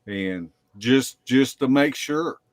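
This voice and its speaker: A man telling field stories casually as a podcast guest, in a halting way with drawn-out pauses. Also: mid-range voice, lively intonation, no echo, studio quality. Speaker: guest, Bigfoot field investigator. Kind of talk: casual storytelling in a live podcast interview.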